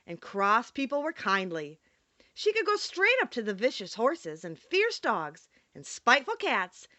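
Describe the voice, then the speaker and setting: A woman narrating a children's storybook in an expressive, dramatic storytelling manner. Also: fairly high pitch, dry recording, dull audio. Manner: animated, storytelling delivery with dramatic emphasis. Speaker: audiobook narrator.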